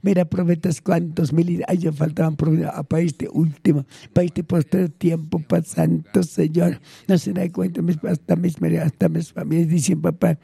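An older man with a Peruvian Spanish accent prays spontaneously and pleadingly. His voice is husky and very mellow in tone, though set fairly high for a man. He speaks in short phrases, returning again and again to invocations. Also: level tone, moderate pace, dry recording. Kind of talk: extemporaneous fervent prayer.

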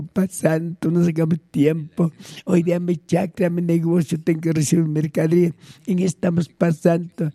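An older man with a Peruvian Spanish accent and a husky, gravelly voice, praying off the cuff in short, pleading, repeated phrases. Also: fairly high pitch, warm tone, no room sound, studio quality. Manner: pleading, repetitive short phrases with frequent invocations. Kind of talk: extemporaneous fervent prayer.

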